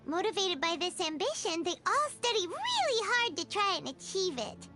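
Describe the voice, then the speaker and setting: A woman voicing a tiny, excitable, food-loving female fairy companion in a video game, squeaky and childlike, bubbly and exclaiming. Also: moderate pace, over music. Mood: pondering. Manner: speaks of self in third person, exclaiming, bubbly. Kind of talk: video game character dialogue.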